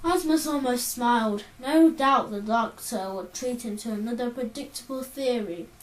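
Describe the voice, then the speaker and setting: A woman's voice: fairly high pitch, normal speed. A woman narrating an amateur audiobook, reading with occasional slips and restarts. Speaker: audiobook reader. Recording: roomy, distant mic.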